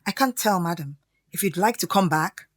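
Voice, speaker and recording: Woman playing a frosty, low-voiced secretary. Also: expressive, normal speed, no room sound, clean studio sound. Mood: curt, polite. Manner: formal, curt politeness. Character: frosty, officious female secretary. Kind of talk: audio drama acting.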